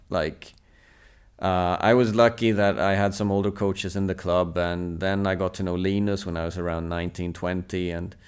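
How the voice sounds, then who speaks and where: A Swedish man in his thirties, a native Swedish speaker, talking in English as a podcast guest. He speaks in a thoughtful, measured way, with frequent hesitant fillers. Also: low-pitched, clear, resonant tone. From Sweden. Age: 30s. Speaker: national team table tennis coach, podcast guest. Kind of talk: reflective casual conversation.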